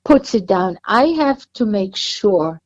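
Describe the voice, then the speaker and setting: A woman's voice: mid-range voice, big pitch swings, slow, darkish tone, dull audio. A woman with an Israeli accent, an author guest talking at length in an interview. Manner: chatty, explains at length with restarts.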